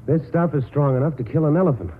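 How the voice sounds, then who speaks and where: A man acting an earnest, reasonable doctor in a 1940s radio drama, serious and measured. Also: a little high, slight background noise, close to an everyday voice. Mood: matter-of-fact. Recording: narrow, muffled vintage radio broadcast.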